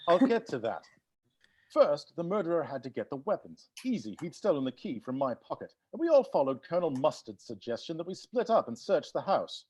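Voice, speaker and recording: An actor voicing an excitable butler who explains a murder mystery in a theatrical, emphatic way during a comedy table read. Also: mid-range voice, fairly quick, husky, rough recording. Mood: explanatory, confident. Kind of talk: live table read of a comedy film script.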